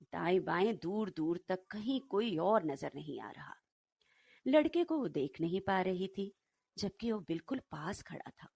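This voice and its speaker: A woman narrating a short story in an unhurried, expressive, dramatic storytelling manner. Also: lowish voice, vocal fry, dry recording.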